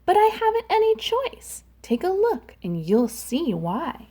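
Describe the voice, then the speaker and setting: A woman's voice: very high-pitched, huge pitch swings, unhurried, warm tone, pitched above the performer's own voice, full character voice. A woman voicing a glum male fish with a pouting face, in a sing-song rhyming delivery. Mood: gloomy.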